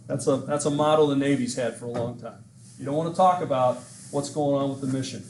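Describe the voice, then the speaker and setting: A man's voice: mid-range voice, fairly quick, darkish tone. A middle-aged man lecturing a history class, chatty and self-mocking, rambling between jokes, recorded on a laptop mic. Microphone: laptop mic at a lectern. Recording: echoey classroom with background hiss.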